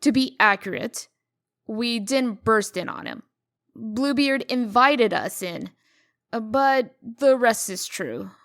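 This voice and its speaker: A woman's voice: a little high, animated, slow, fairly bright tone, exaggerated voice. A woman voicing a poised, formal, businesslike deputy to a mayor, precise and dryly skeptical, in a comic dub. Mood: measured, skeptical. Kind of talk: comic dub character acting.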